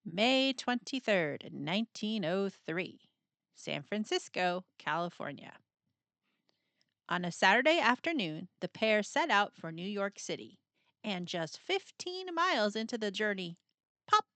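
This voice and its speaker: Animated woman reading a storybook aloud. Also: mid-range voice, no room sound. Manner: animated, dramatic pauses and emphasis, playful sound words. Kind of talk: expressive scripted picture-book narration.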